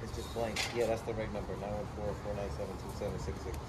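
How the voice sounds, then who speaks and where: Male homeowner, halting talk, heard from a distance in an echoey, noisy room. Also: on the low side, little pitch movement. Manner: explains haltingly, with fillers.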